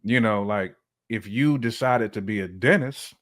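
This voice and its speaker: Man, low voice, casual conversation, earnest, emphatic, informal phrasing, podcast guest. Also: measured pace, a bit bright. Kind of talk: casual conversational opinion-giving.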